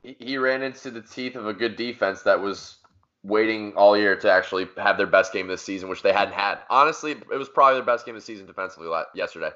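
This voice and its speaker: Man, bright forceful voice, emphatic sports debater, podcast co-host, dull lo-fi sound. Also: fairly low pitch, fast. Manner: emphatic, argumentative, repeats points for force.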